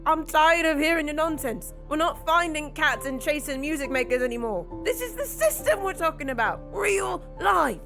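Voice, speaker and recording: A woman's voice: high-pitched, lively intonation, moderate pace, hardly any pauses, fairly bright tone, faint music behind. A woman acting a guilt-ridden, hot-tempered young girl detective in an audio drama. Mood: angry, scolding.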